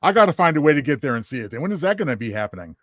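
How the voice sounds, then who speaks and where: A man with a slightly high voice chats as a co-host. He is easygoing and supportive, and the sound is close and dry. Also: big pitch swings, very fast, a bit bright, no room sound. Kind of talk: casual chat.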